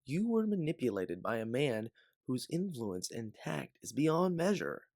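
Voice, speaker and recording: A man voicing a devout, loyal companion in a sci-fi story, speaking in an earnest, persuasive, measured way. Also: mid-range voice, very animated, a little mellow, no room sound, studio quality, pitched above the performer's own voice. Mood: forgiving. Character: Aleph, devout, loyal, forgiving companion and resistance believer.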